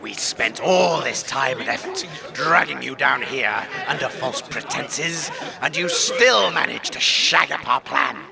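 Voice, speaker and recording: A man's voice: mid-range voice, animated, a little slow, creaky, over a noisy crowd. A man playing a pompous, ranting wasteland cult leader in a comic audio drama, speaking with theatrical, grandiose contempt. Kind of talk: comedic audio drama acting.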